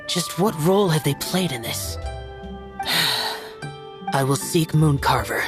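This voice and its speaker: An actor playing a stern, aloof young male immortal guardian in a video game, speaking gravely and formally. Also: mid-range voice, animated, slow pace. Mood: grave, troubled. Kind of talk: video game cutscene acting. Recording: music playing behind the voice.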